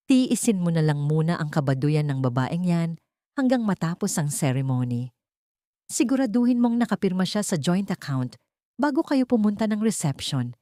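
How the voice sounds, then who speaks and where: Villainous older matriarch for audio story played by a woman, condescending, animated, dry sound. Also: fairly low pitch, no echo. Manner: condescending, calculating. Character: scheming, disdainful older mother, greedy and cold.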